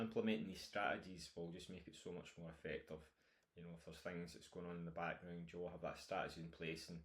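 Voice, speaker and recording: A man's voice: sits low, fairly bright tone. A Scottish man, a nutrition coach, talking informally through a recorded course introduction with many fillers and restarts.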